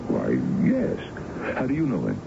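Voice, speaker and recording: An actor playing an older, smooth-talking colonel, courtly and coaxing, in a 1970s radio drama. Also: male voice, fairly low pitch, huge pitch swings, moderate pace, exaggerated voice. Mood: evasive. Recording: noisy, dull 1970s radio broadcast recording.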